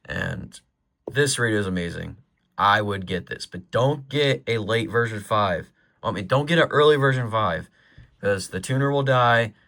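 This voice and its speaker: A man with a fairly low voice talks casually through items in his collection. His delivery is informal and rambling, and he freely offers his own opinions as he goes. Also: moderate pace, creaky, bright, forceful tone. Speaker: collector presenting a weather radio collection. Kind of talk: casual product show-and-tell.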